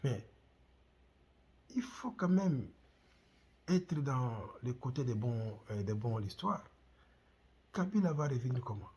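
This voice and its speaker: A man's voice: mid-range voice, animated, takes it slowly, long pauses, a little mellow, a little hiss or hum. A middle-aged man from the Congo with a Congolese French accent, hosting his own channel and speaking passionately to camera with repeated rhetorical questions. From Democratic Republic of the Congo. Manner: impassioned, repetitive rhetorical questions. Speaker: channel host. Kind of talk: direct-to-camera commentary.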